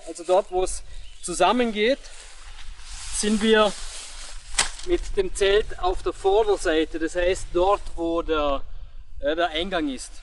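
A young man giving step-by-step instructions on pitching a tent in an outdoor meadow, pausing with fillers as he works. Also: steady tone, a little hiss or hum. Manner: explains step by step with pauses and fillers. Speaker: product demonstrator.

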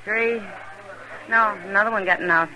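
A woman's voice: mid-range voice, normal speed, bright, forceful tone, low-quality recording. An actress playing an easygoing, wry Old West townswoman in a 1950s radio western, speaking casually. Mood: wry, relaxed. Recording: old radio recording with crowd sounds.

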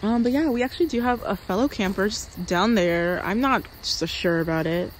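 A woman's voice: mid-range voice, normal speed, a little hiss or hum, low-quality recording. A young woman in her twenties joking and chatting at a campsite at night, recorded on a handheld phone. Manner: bubbly, joking, laughs a lot. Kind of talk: casual camping vlog chat.

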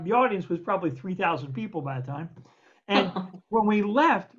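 An older man, a producer and co-host, telling an emphatic, animated story over a video call. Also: high-pitched. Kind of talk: casual storytelling. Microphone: video call webcam. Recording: dull lo-fi video call audio.

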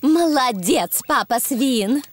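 A woman voicing a caring, gently chiding mummy pig in a preschool cartoon. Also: sits high, animated, takes it slowly, heavy background noise. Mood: approving. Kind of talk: dubbed preschool cartoon acting.

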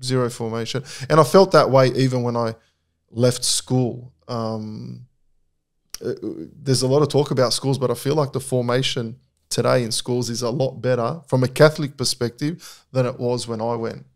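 A middle-aged man talks as a guest in a casual podcast conversation. His pitch sits in an ordinary middle range. He speaks candidly and reflectively, often restarting his sentences. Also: steady tone, moderate pace, gravelly.

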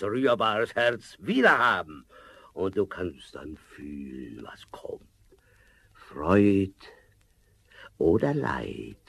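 A sinister giant tempter from a fairy-tale radio play. The demon mocks and schemes in slow, drawn-out phrases. His pitch swings widely and theatrically. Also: full character voice. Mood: mocking, menacing. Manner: theatrical, mocking, drawn-out delivery.

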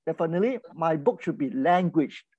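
A man gives an impromptu speech, his voice mellow and dark. He talks in an animated, emphatic way, with loud rising bursts. The audio is dry and somewhat dull. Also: fairly high pitch, fairly quick, dry recording. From Malaysia. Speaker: club member giving an impromptu speech.

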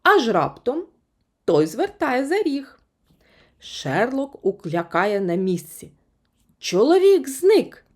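A woman reading a children's story as an expressive audiobook narrator. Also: fairly high pitch, slow pace.

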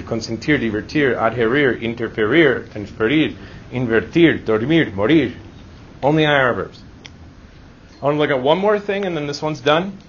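A man teaching a grammar lesson in an informal, reassuring classroom manner, often checking in with short rhetorical questions. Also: medium pitch. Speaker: Spanish teacher. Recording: dull lo-fi audio, clear background noise.

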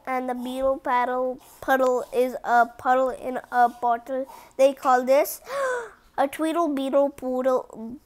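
A read-aloud presenter reading a picture book in an animated way, with casual asides to viewers and some stumbling over the words. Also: high-pitched, unhurried. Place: indoors at home, book on a cloth.

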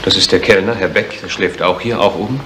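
A male actor plays a businesslike police investigator in a radio play. The voice is deep and the delivery clipped and official, on a noisy old recording. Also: steady tone, brisk, natural voice. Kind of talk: 1970s German radio crime drama acting.

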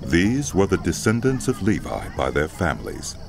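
A man narrating scripture for an audio drama in a measured, solemn manner. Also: deep voice, wildly swooping pitch, vocal fry. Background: music.

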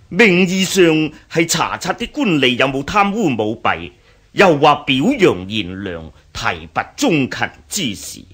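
An actor playing an arrogant, vindictive Han dynasty official in a Cantonese radio drama, haughty and scornful, with a Hong Kong accent. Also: male voice, mid-range voice, a bit bright, husky, heavily acted. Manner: haughty, scornful snorts and chuckles.

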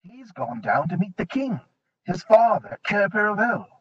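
A courteous young fawn, eager to help, as a man puts him on, speaks in a raised, lively voice that rises and falls a great deal. A slight huskiness runs through it, and the recording sounds dull and lo-fi. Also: sits high, a little slow, slightly rough, pitched above the performer's own voice, exaggerated voice. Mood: informative. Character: polite, eager young male fawn, brisk and helpful.

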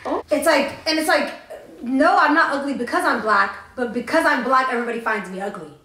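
A young woman co-hosting a podcast, telling a story fast and with lots of swearing while doing her makeup on a couch. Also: high voice, roomy sound. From Tennessee. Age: young adult. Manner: fast, profane, animated storytelling. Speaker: podcast co-host. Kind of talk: casual storytime chat. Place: living room, on a green couch.

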